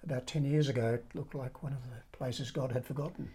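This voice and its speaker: A woman hosting a podcast interview, asking measured, halting questions. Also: rumbling low, steady tone, mellow, husky. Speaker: podcast host.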